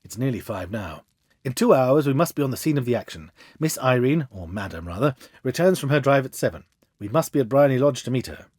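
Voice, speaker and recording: A man reading a storybook as a confident, commanding Victorian gentleman detective with an assured, theatrical manner. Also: mid-range voice, lively intonation, brisk, breathy edge, dry recording, studio quality. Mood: brisk, assured.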